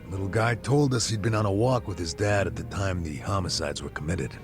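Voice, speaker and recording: Gravelly, blunt middle-aged ex-cop. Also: male voice, sits low, fairly quick, over music. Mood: grave, confiding. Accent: American. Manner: blunt, streetwise, swearing. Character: disgraced middle-aged ex-cop, gruff, regretful, good-hearted. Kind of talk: video game cutscene dialogue.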